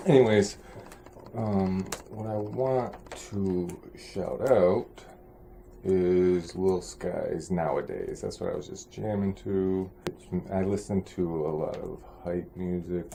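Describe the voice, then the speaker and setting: A young man vlogging in a casual, low-energy, nearly monotone way, with many ums and restarts. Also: slow, creaky, a little hiss or hum. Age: young adult. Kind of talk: casual vlog talk to camera.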